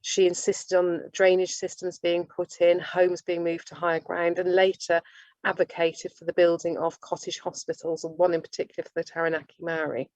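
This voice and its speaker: A woman giving an informal lecture, halting and often restarting her sentences. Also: on the low side, level tone, a little mellow, no echo. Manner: halting, with many restarts and self-corrections. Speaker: speaker giving a talk on nursing history. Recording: dull lo-fi dry recording.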